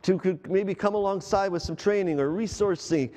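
Animated middle-aged man preaching in a hall. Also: sits high, normal speed. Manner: animated, gesturing preacher who asks the congregation questions. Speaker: pastor. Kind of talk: sermon. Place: large church stage. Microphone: headset mic.

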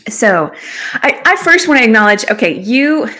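A woman's voice: fairly high pitch, big pitch swings, moderate pace, pushed and bright. A middle-aged woman hosting a conversational interview over a video call. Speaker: show host, confidence coach.

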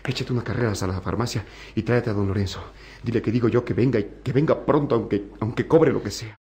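A man's voice: on the low side, fast, mellow, natural voice. A man playing an anxious, devoted son in an old-fashioned radio drama. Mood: urgent.

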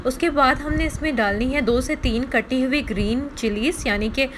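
Higher-voiced woman narrating a recipe. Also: normal speed, a little hiss or hum. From Pakistan. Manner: explains each step, often restating in simpler words. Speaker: cooking channel host. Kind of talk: recipe voice-over.

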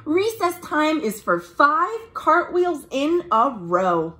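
A young American woman reads a picture book aloud to children, expressive and deliberate, with friendly asides like a storytime librarian. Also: high-pitched. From United States. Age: young adult. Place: small room at home.

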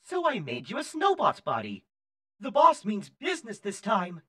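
A man's voice: sits high, huge pitch swings, normal speed, fairly bright tone, pitched above the performer's own voice, full character voice. A man voicing a chatty little robot henchman with a wisecracking, grumbling delivery. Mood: sardonic.

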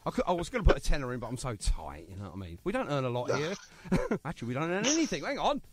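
A talkative man hosting a radio show, his voice sitting a bit high and swinging up and down a lot. He jokes, pokes fun at himself and keeps the chat moving. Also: huge pitch swings, racing, crowd noise. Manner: chatty, jokey, self-mocking. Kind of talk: casual radio interview.

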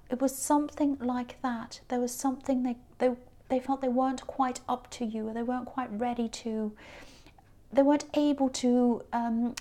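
Woman, high voice, tarot reader, hesitant, lots of ums, slightly croaky, speaking over a tabletop card spread. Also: mellow. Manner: hesitant, many ums and restarts. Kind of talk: unscripted tarot reading.

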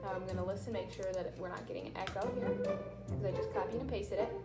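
A woman's voice: medium pitch, steady tone, quick pace. A young adult woman giving a casual how-to tutorial in a chatty, informal manner. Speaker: tutorial presenter. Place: small indoor room with bare block walls. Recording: echoey room with music behind. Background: music.

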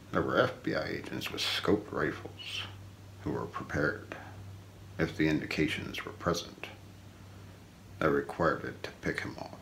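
A middle-aged man narrates a mystery in an ASMR documentary style. His voice is very low and creaky, with intonation that swings widely from phrase to phrase. He keeps a measured, solemn storytelling delivery. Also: fairly bright tone, a little hiss or hum, poor audio. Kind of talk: ASMR scripted narration, true-crime documentary role play. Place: small indoor room.

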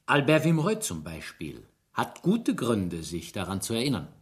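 An actor playing a persistent police inspector in a German radio drama, probing and insistent. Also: male voice, lowish voice, huge pitch swings, normal speed. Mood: insistent, pressing. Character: persistent male French police inspector questioning witnesses.

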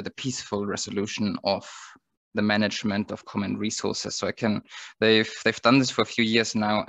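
A man in his thirties speaking on an online panel through a headset, measured and searching for words. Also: on the low side, fairly bright tone, no room sound. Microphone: headset on video call.

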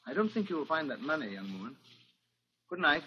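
A menacingly genial, wealthy man in a 1940s radio thriller. His mock-polite taunts swing widely in pitch. The old recording is dull, with some hiss. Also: big pitch swings, a little fast, sharp tone. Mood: suavely probing.

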